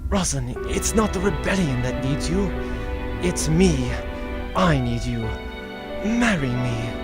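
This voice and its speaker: A man's voice: on the high side, animated, a little slow, over loud music. A man playing a young noble rebel leader in an audio drama, pompous and easily flustered. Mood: pleading.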